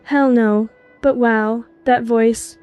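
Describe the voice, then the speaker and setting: A woman's voice: on the high side, fairly even intonation, slow pace, a little mellow, clean tone, muffled recording. A woman chatting casually with friends over voice chat. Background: music.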